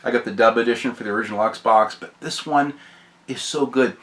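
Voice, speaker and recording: A man with a hoarse voice giving a casual, enthusiastic, rambling review of his game collection, often clearing his throat. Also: medium pitch, brisk, fairly bright tone. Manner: enthusiastic, rambling, repeats praise.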